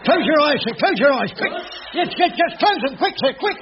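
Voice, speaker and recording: An actor playing a flustered, fawning caretaker in a radio sitcom, stammering with an English working-class accent. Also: male voice, very high-pitched, very animated, a little fast, noisy background. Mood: flustered, panicky. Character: jobsworth male caretaker of shabby flats, flustered, obsequious. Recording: dull vintage radio recording, studio audience.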